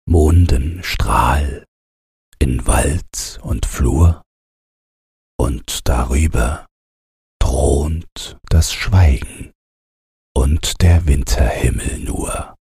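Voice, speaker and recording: Very deep, husky, slow-reading man. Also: darkish tone, no room sound, studio quality. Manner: measured, drawn-out phrasing. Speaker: audiobook reader. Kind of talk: slow bedtime storybook narration.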